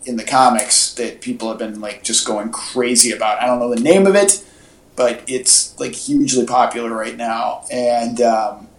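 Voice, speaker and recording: Male podcast co-host, average pitch, informal and halting fan chatter, captured with noticeable room echo. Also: steady tone. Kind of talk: casual chat about comics and movies. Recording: echoey, roomy podcast audio.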